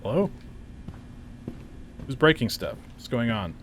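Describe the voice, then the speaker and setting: A man playing and reviewing a game, thinking aloud in casual, laid-back, slightly halting commentary. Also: mid-range voice, sing-song, a little hiss or hum.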